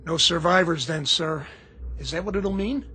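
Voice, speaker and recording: Navy diver: high, bright voice, respectful and earnest, radio drama with faint noise. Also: male voice, moderate pace. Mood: anxious. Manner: respectful, eager. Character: dutiful male Navy diver, earnest subordinate sailor.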